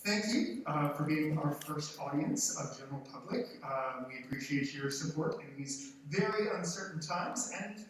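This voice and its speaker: A man who is a theater teacher and director, giving an informal, somewhat halting welcome speech to an audience. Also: fairly high pitch, lively intonation. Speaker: theater teacher and show director introducing a play. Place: large theater stage before an audience. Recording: very echoey auditorium, far off, faint noise.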